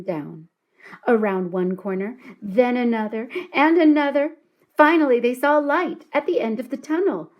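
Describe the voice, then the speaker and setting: A woman reading a children's story aloud in an expressive, deliberate and dramatic storytelling style. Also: fairly high pitch.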